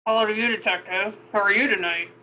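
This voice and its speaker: A man's voice: high-pitched, fairly even intonation, fast talker, sharp tone, a little hiss or hum, pitched above the performer's own voice, rough recording. A man doing a pinched, raised ventriloquist voice. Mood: stilted, playful. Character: detective doing clumsy amateur ventriloquism with a dummy.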